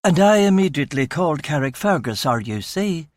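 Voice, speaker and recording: A man's voice: fairly high pitch, sing-song, a little fast, dry recording, studio quality, pitched above the performer's own voice. Man acting older woman, stern madam, haughty, firm, proprietorial, audiobook character.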